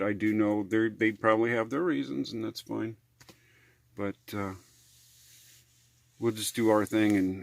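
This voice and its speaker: A man's voice: lowish voice, gravelly, no echo, studio quality. An American man talking casually in a how-to vlog, in a rambling, hesitant, folksy way. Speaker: RV living vlogger.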